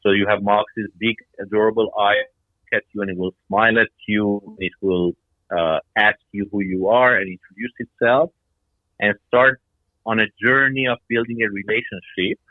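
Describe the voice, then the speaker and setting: Middle-aged male founder as interview guest, lower-pitched voice, narrow telephone-grade sound, explaining his work. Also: fairly even intonation, normal speed, no echo. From Iran and Denmark. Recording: tinny phone-like line.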